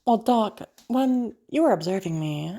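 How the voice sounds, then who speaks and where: A woman playing a deranged, possessive asylum patient in a roleplay audio drama, teasing and halting as she talks, with casual swearing. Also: mid-range voice, animated, dark tone. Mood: coy, possessive. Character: deranged female asylum patient, possessive, flirty, playfully menacing.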